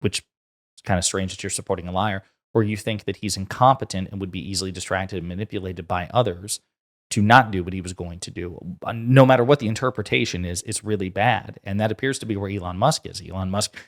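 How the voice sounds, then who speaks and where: A man's voice: sits low, quick pace. An American man hosting political commentary, talking casually in an emphatic, argumentative way. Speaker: host commentator.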